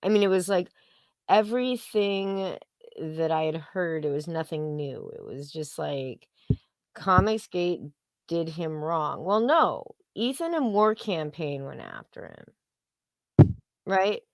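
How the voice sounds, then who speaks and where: Female livestream co-host, low pitch, very dry close sound, blunt and profane, casual opinion talk. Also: sing-song, slow, no echo.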